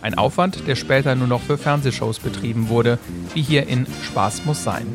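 A man's voice: mid-range voice, moderate pace, over loud music. A man reading scripted narration as a reporter in a radio feature.

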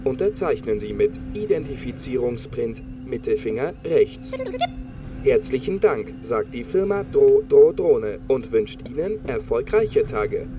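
A man's voice: medium pitch, big pitch swings, measured pace. A man playing an automated delivery drone that reads out formal, impersonal notices in a radio drama. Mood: officious, impersonal.

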